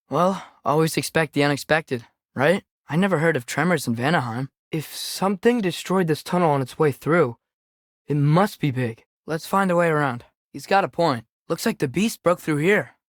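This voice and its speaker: A man's voice: on the high side, no room sound, close to an everyday voice. Young guy voicing teen boy game companion, american, light youthful voice, curious and earnest, kinda casual, dry studio sound. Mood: thoughtful, wondering. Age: teenager. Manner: casual, conversational, observant. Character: earnest, curious, alert adolescent boy adventurer. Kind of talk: video game companion dialogue.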